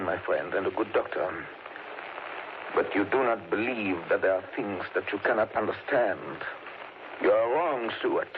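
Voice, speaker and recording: An actor playing a grave, erudite older foreign vampire hunter in deliberate, slightly broken English, in the manner of 1930s radio drama. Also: male voice, fairly low pitch, close to an everyday voice. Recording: scratchy, muffled old radio broadcast recording.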